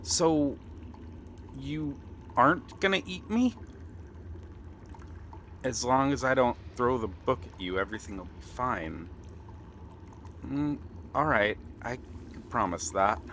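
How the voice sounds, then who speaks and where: Guy doing a bookish nervous young pirate prince, asmr roleplay, talks slow and hesitant, mid pitch, some water noise behind. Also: lively intonation, fairly bright tone. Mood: relieved. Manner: hesitant, earnest, with stammers.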